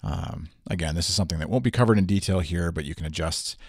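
A young man with a bassy voice, talking through a software tutorial in a relaxed way with plenty of fillers, on a studio microphone. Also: fast talker, breathy edge, dry recording. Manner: relaxed explaining with frequent fillers. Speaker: tutorial presenter. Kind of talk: casual screen-recorded software tutorial. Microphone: studio microphone through USB interface.

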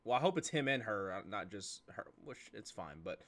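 Bright-voiced young American gaming host. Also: male voice, lowish voice, big pitch swings, normal speed. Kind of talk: casual reaction commentary. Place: indoors at a desk, computer setup.

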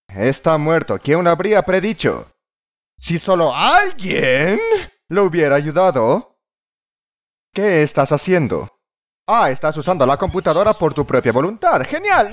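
A man's voice: fairly high pitch, fairly bright tone. A man narrating a scripted comedy parody in a sarcastic, exaggerated, exclamatory manner. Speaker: fandub narrator. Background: music.

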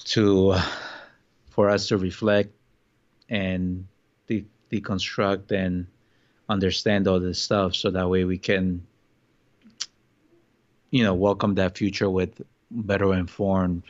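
A man from Colombia co-hosts a podcast, his voice low and flat. He talks in a measured, reflective way. The recording sounds dull and lo-fi. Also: no echo. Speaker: podcast co-host. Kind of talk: casual chat.

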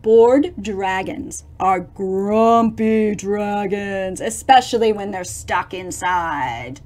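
A middle-aged woman reading a picture book aloud to young children, expressive and theatrical, pausing to explain things with dramatic emphasis. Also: mid-range voice.

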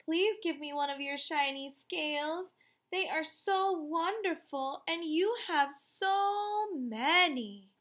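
A woman voicing a small, eager, polite male blue fish from a storybook, with drawn-out phrases. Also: sits high, lively intonation, a bit bright, pitched above the performer's own voice, exaggerated voice. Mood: pleading.

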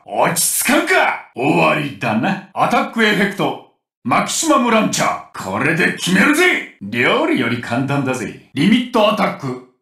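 Gruff, brash male game warrior, animated delivery, distant roomy recording. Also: a little high, full character voice. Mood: fierce, commanding, then cool, dismissive. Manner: forceful, rough-spoken, cocky. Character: gruff, brash grown male warrior who loves cooking. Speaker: game voice actor. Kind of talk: Japanese anime video game battle voice clips.

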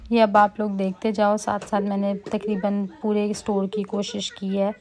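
A woman's voice: medium pitch, monotone, moderate pace, darkish tone, slight background noise. A young adult woman narrating a shopping vlog as a casual voice-over, chatty and listing items with frequent fillers. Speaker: vlogger. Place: quiet indoor room, voice-over.

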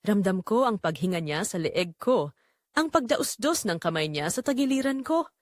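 A woman narrating a scripted first-person romance drama in measured, deliberate short phrases. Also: medium pitch, sing-song.

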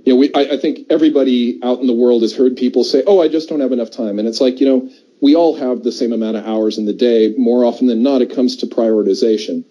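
A man with a dark, relaxed voice of middling pitch chats reflectively on a podcast. His audio is somewhat dull and lo-fi. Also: fast. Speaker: podcast panelist. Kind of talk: casual reflective chat.

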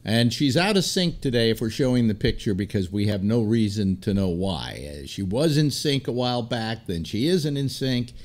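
An American man in his seventies chats as a talk show host. His delivery is casual and rambling, with a very lively, swinging pitch. He speaks into a desk microphone at home. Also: normal speed, hardly any pauses. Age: 70s. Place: home room lined with disc shelves.

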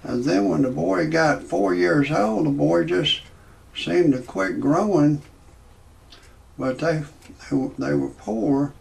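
A man, telling a rambling parody comedy monologue in a halting way, full of "uh" fillers and restarts. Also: fairly high pitch, a little mellow. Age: 60s. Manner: slow, halting delivery with many "uh" fillers and restarts; gravelly voice. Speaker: comedy storyteller.

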